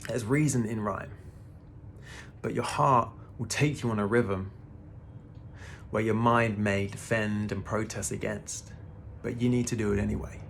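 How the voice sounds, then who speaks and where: Gravelly laid-back man in his thirties. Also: on the low side, fairly even intonation, measured pace, long pauses, slight background noise. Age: 30s. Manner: laid-back, peppers talk with "man" and swearing. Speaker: spiritual vlogger. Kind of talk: casual spiritual monologue.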